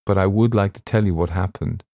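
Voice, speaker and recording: A man voicing a shaken but determined gentleman passenger in an audiobook, speaking earnestly and formally. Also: deep voice, brisk, no echo, studio quality.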